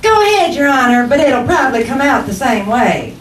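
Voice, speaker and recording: Very high and swooping, a woman plays a wry, quick-witted wife from an old radio comedy. She needles and humors dryly, in a noisy, echoey stage recording. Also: big pitch swings, distant mic. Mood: wry, teasing. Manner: dry, needling. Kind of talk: 1940s radio comedy acting. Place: live stage in arts center.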